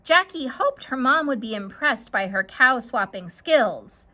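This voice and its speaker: A woman's voice: on the high side, expressive, measured pace, sharp tone. A woman telling a scripted children's story as a storyteller.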